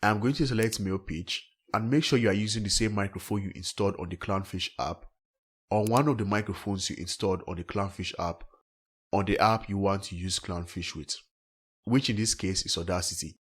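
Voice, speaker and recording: A man with a low-pitched, slightly rough voice guides listeners through a software tutorial. He speaks in a scripted, step-by-step way as a tech host. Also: fairly even intonation, a little fast.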